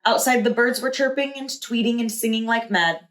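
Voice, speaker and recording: Preschool teacher lady reading a kids book out loud, higher voice, echoey room. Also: moderate pace. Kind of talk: children's storybook read-aloud.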